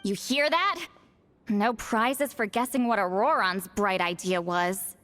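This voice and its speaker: A woman's voice: mid-range voice, slow, fairly bright tone. A woman voicing a bossy, teasing, self-assured spirit mentor who acts like a granny, in a video game. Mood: teasing, exasperated. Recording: background music.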